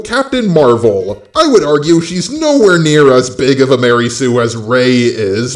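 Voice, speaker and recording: A synthetic, robotic male voice reading an opinionated, tongue-in-cheek scripted video essay. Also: a little high. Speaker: host of a video essay channel.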